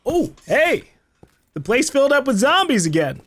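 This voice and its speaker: A man's voice: very high-pitched, sing-song, normal speed, fairly bright tone, no room sound. A man giving casual, playful let's play commentary as host of a gaming video. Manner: playful, joking.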